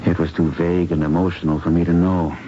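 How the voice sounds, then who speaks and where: An actor playing a secretive, idealistic young doctor in a 1970s radio drama, speaking in a hushed, grave, deliberate way. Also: male voice, low voice, flat delivery, natural voice. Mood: grim, confiding. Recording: dull lo-fi radio broadcast, noisy.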